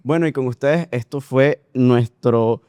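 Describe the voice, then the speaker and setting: A man's voice: medium pitch, measured pace, dark tone, clear, resonant tone, dry recording. A man hosting a podcast, asking long, rambling questions in casual talk. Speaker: podcast host. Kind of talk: casual interview.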